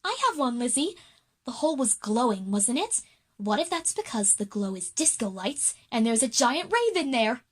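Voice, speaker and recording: A woman playing an excitable, party-loving schoolgirl in a children's audio drama. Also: fairly high pitch, normal speed, breathy edge. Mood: excited.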